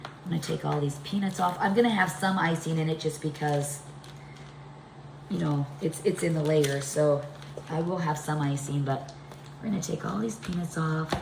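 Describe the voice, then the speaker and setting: A middle-aged woman hosting a casual home cooking demonstration, her voice congested and deepened by a chest cold. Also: moderate pace, creaky. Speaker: home cooking video host. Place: indoors, home kitchen. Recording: echoey room with background noise.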